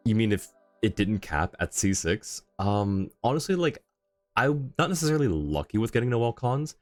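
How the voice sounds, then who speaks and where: A man's voice: on the low side, big pitch swings, moderate pace, darkish tone, no room sound. A man chatting casually on a game livestream, thinking aloud and rambling as he reads chat, with music behind him. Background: video game music.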